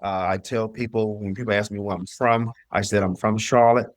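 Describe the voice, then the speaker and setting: Low-voiced man telling his story. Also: steady tone, fairly quick, no echo, clean studio sound. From southern US (Mississippi, North Carolina). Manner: emphatic, repeats phrases, acts out his own past thoughts. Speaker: interview guest, entrepreneur. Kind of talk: personal storytelling in an interview.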